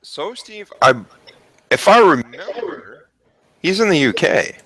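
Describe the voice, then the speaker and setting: A man's voice: sits high, very animated, slow, a bit bright, rough recording. A man from eastern Canada with a light, mixed Canadian accent, talking in an easygoing way while hosting a casual stream chat. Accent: light Canadian, mixed from travel. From eastern Canada, Acadian French. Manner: easygoing, thinks aloud. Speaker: channel host.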